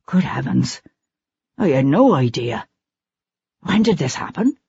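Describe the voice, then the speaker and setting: A narrator puts on a mild, self-effacing gentleman with a soft, slightly husky voice, lower than the storytelling voice. He sounds hesitant and apologetic, letting his lines lift like questions. The recording is dull and dry. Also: sing-song, unhurried, dry recording, heavily acted. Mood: meek, diffident. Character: ex-soldier man posing as a timid, humble gentleman. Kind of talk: audiobook character voice.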